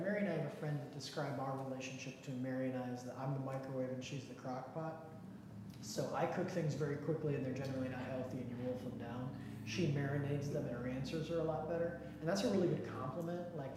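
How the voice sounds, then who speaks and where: Brief: male pastor, casual and self-mocking, very echoey church hall. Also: mid-range voice. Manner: folksy, self-deprecating, chatty. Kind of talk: leading a church discussion. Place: church sanctuary. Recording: echoey hall, faint noise.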